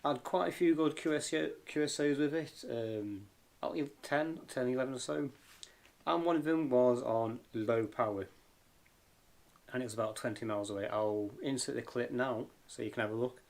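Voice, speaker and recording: A man giving a casual, unscripted hands-on gear review as an amateur radio vlogger, pausing and feeling his way along. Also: mid-range voice. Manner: hesitant, informal, unscripted. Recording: clear background noise and clicks.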